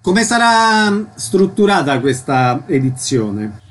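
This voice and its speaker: A man's voice: a little high, very animated, slow pace, background noise. A man hosting a radio culture programme, presenting from a script and putting questions to guests. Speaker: radio host.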